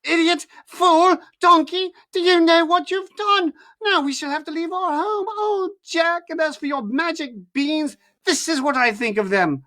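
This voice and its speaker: A storyteller voicing a poor farm mother who screams and scolds her son in a high, loud voice. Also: animated, moderate pace, hardly any pauses. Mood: furious.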